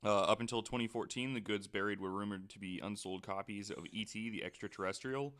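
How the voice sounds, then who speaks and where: Man, very low pitch, bright edgy tone, podcast guest, halting, dry humor. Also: a little hiss or hum. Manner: reads facts haltingly, dry jokes. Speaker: guest co-host. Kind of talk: casual chat, telling a story.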